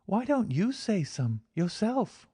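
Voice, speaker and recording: A man's voice: very animated, slow, mellow, slightly rough, no room sound, studio quality, heavily acted. A man doing a chattering little frontier girl. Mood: eager. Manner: rustic dialect, high childlike lilt. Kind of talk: audiobook character voice.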